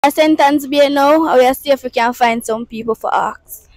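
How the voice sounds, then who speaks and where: A young Jamaican woman with a high, bright voice asks passersby questions in an upbeat, playful patois-tinged way. She holds a handheld mic out on a city street, and her voice comes through close and dry. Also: normal speed, no echo. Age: young adult. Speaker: street interviewer. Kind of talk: casual street interviews.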